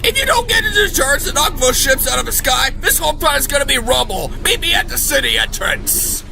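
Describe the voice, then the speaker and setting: A man acting a frantic, bellicose military commando who shouts and barks orders in a video game fan dub. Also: very high-pitched, expressive, fairly quick, vocal fry, over music, a crowd in the background, exaggerated voice. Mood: barking orders. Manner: shouting, barking.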